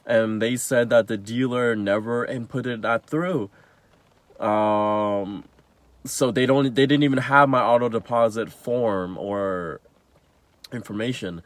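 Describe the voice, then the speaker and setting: A man's voice: mid-range voice, takes it slowly, no echo. Nasal, rambling young man with a cold. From Canada. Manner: rambling, many fillers and restarts. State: sick, under the weather. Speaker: vlogger. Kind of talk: casual rambling vlog.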